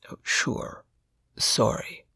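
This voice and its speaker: A woman voicing a flustered male college professor in a storybook reading, halting and awkward. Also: sharp tone, dry recording, whispered. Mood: nervous, taken aback.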